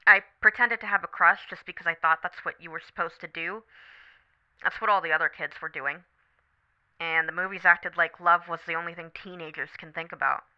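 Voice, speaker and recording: A woman playing a supportive, candid best friend on a late-night call in an ASMR roleplay, talking casually, gently and thoughtfully. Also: on the low side, fairly even intonation, fairly quick, lo-fi sound, natural voice. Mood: reflective, vulnerable. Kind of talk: scripted ASMR roleplay, one side of a phone conversation.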